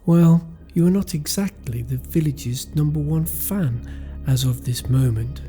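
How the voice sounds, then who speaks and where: A man's voice: fairly high pitch, a little slow, warm tone, soft background music, lightly acted. A man playing a defensive, grumbling village vicar in an audio drama.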